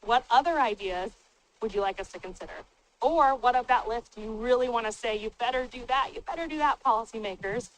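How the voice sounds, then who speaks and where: A woman on city staff presenting and moderating at a public town hall meeting, speaking informally and plainly with a loud, booming voice into a handheld microphone. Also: a little fast. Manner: plain-spoken, informal, upbeat.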